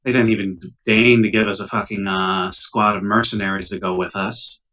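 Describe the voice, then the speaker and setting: A man's voice: on the low side, flat delivery, moderate pace, sharp tone. A man playing an uneasy, wry civilian scientist in a tabletop roleplaying game, speaking in a hesitant, wordy, sardonic way. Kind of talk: tabletop roleplaying, improvised dialogue. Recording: distant mic, poor laptop recording.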